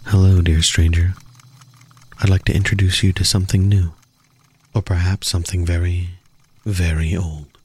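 A man reading a scripted promo in a slow, ominous, portentous manner. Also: deep voice, level tone, a little mellow, breathy edge. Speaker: promo announcer.

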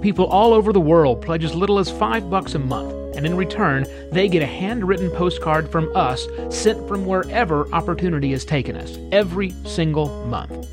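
A man's voice: high voice, expressive, normal speed. A man co-hosting a travel podcast, narrating with dry, wry humor. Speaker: podcast co-host. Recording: narration over background music. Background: theme music.

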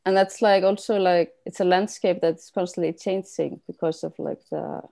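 This is a woman from Iceland, answering questions about her art. Her voice sits low, warm and mellow, with a grainy fry. She talks loosely and reflectively, leaning on fillers. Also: normal speed. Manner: relaxed, frequent "like" fillers, hopeful. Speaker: artist. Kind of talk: conversational interview answer.

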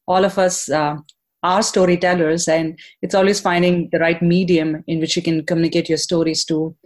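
A woman's voice: lowish voice, flat delivery, normal speed, darkish tone. A woman hosting a podcast, asking questions in an upbeat, encouraging way. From Chennai, India. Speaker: podcast host. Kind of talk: podcast interview.

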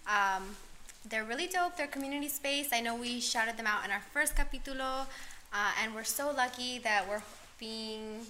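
Enthusiastic young woman podcast co-host, slightly high, bright tone. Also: normal speed, a little hiss or hum. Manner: enthusiastic, gushing, laughs often. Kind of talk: casual podcast chat.

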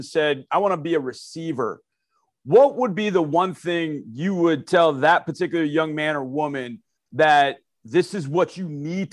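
A man's voice: on the high side, dry recording. A man co-hosting a sports interview who asks long, winding questions in an enthusiastic way. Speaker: co-host interviewer.

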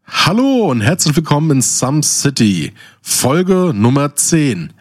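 A man's voice: fairly high pitch, very animated, slow pace. A man in his thirties from southwestern Germany, co-hosting a podcast in casual chat with mock-formal introductions. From Baden-Württemberg, Germany. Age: 30s. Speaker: podcast co-host.

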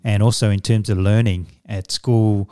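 Casting: middle-aged samoan-born man, native samoan speaker, low mellow voice, dry close sound, measured and reflective. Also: little pitch movement, clear, resonant tone, no room sound. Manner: measured, reflective, with fillers and restarts. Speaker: guest, business coach. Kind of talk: conversational interview answers.

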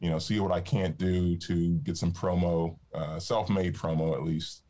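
A man with a deep, resonant low voice, chatting casually as a podcast guest with plenty of fillers. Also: moderate pace. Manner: relaxed, rambling with fillers. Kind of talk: casual conversation.

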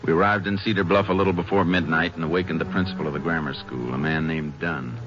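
An American man acting a calm, methodical Texas Ranger in a 1950s radio drama, speaking in a measured, understated way. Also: very deep voice, loud music behind the voice. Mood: matter-of-fact narration. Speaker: lead actor and narrator. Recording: noisy, muffled old radio broadcast recording.

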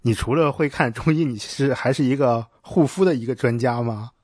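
A man's voice: medium pitch, fairly quick, darkish tone, no room sound, clean studio sound. A man from China hosting a casual interview, framing his questions informally and a little hesitantly. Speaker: podcast host, interviewer.

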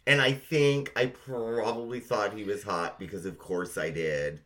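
A man's voice: lowish voice, fairly even intonation, unhurried, fairly bright tone. A middle-aged man co-hosting a podcast, reminiscing in casual chat with an informal, emphatic, sweary manner. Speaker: podcast co-host.

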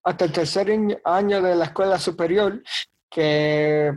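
A man in his thirties, a priest, telling his faith story in an interview with lively, joking anecdotes. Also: high voice, unhurried, muffled recording. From Bronx, New York. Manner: animated storyteller, jokes and acts out quotes. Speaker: diocesan priest.

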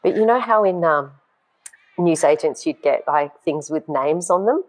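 A man, a guest telling stories from his youth in an animated way, laughing as he talks. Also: a little high, normal speed, low-quality recording. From Australia. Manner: animated storyteller, laughs while talking. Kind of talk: casual podcast chat.

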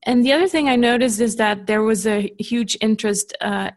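A woman's voice: on the high side, normal speed. Chatty Swiss woman with Canadian-tinged English. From Switzerland. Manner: chatty, laughs easily between points. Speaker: podcast guest, business coach. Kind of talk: casual interview chat.

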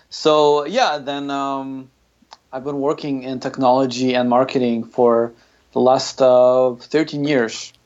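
A man in his thirties, originally from Ukraine, recounting his background to a podcast host in a relaxed way with fillers. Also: mid-range voice, slow, dull audio. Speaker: guest. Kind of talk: casual interview.

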